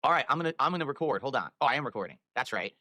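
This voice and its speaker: A man's voice: mid-range voice, lively intonation, racing, pushed and bright, dry recording. A man live-streaming, rambling while he fiddles with his microphone and audio settings.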